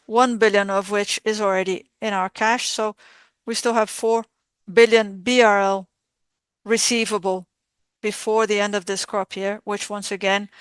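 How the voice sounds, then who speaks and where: A woman interpreting live on a formal corporate earnings call, speaking in measured, halting short phrases. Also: medium pitch, clean tone, no echo.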